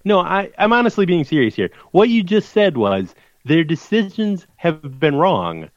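Animated man ranting on a sports podcast. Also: fairly high pitch, moderate pace, no room sound. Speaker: podcast co-host. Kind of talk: casual sports chat. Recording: dull, dry lo-fi audio.

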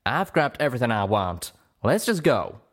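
Gruff winged-horse cowpoke, played by a man: Southern drawl, folksy, protective and quick-tempered, very animated delivery for a storybook. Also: medium pitch, voice pushed up. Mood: grumpy. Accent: American Southern. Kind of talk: audiobook character voice.

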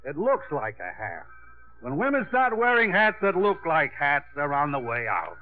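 A man's voice: fairly high pitch, wildly swooping pitch, normal speed. Cranky old meddling guy, folksy, voice jumps all over, tinny fifties radio play. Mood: brisk, teasing. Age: older. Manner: blustery, folksy, contrary. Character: cantankerous, meddling old father-in-law of a small town. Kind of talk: 1950s radio comedy-drama acting. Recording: thin, band-limited 1950s radio broadcast.

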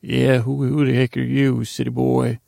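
A bossy old country grandfather, played by a man in a podcast skit. He is terse and folksy, handing out scolding advice in a heavily creaky voice, recorded close and dry. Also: medium pitch, flat delivery, normal speed, dark tone, no echo. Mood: suspicious. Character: gruff old rural grandfather, bossy lesson-giver.

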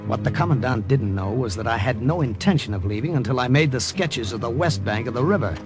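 Tense first-person spy narrator, played by an actor, old-time radio style. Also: male voice, mid-range voice, animated, fast, music in the background, lightly acted. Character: American spy posing as a Frenchman, narrating. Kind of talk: 1940s radio acting.

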